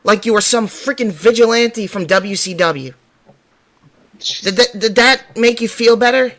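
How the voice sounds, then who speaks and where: A woman's voice: fairly high pitch, measured pace, fairly bright tone. A woman playing a stern, worried mother in an audio drama, questioning her teenage son with exasperation. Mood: stern, controlled anger. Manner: scolding, pointed questioning. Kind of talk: amateur audio drama roleplay.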